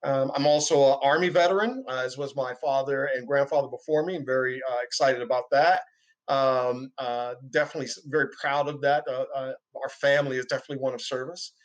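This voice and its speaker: A man's voice: mid-range voice, fairly even intonation, brisk, low-quality recording. A middle-aged man from New York City, a guest in a podcast interview, upbeat and dotting his speech with fillers.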